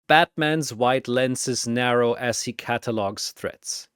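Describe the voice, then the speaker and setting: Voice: mid-range voice, slow, fairly bright tone, clean tone, no echo, studio quality. A narrator delivering dramatic scripted battle storytelling in an emphatic, portentous, announcer-like manner.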